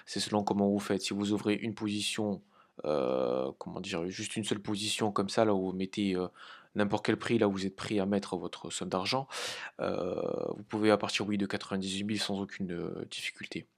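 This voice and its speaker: A man's voice: on the low side, steady tone. A man from France hosting a crypto trading channel, talking casually with many hesitations and light laughs. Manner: halting, many fillers, informal swearing. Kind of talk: casual market analysis.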